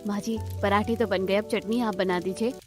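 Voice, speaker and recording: A woman's voice: mid-range voice, fairly even intonation, normal speed, a little mellow, soft background music, natural voice. A woman voicing a cheerful, resourceful young daughter-in-law in a cartoon family drama. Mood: eager.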